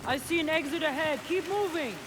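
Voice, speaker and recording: A tough, wary soldier in a military game, voiced by a woman. Her voice is high, with terse and sceptical lines. Very loud vehicle noise fills the background. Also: a little fast, natural voice. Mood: urgent. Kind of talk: dramatic video game cutscene acting.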